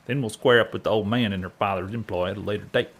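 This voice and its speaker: An actor playing an earnest, folksy cowboy drifter with a husky American Old West drawl in a western comedy audio drama. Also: male voice, on the low side, fairly even intonation, fast. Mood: resolute. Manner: folksy, rustic grammar, colorful exclamations. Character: earnest cowboy drifter Lionel, excitable, resolved to do right.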